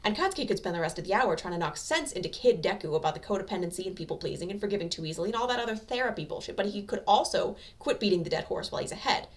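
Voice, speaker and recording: A woman reading a story aloud as an amateur narrator, with expressive scripted delivery. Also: mid-range voice, racing, few pauses, recorded from a distance.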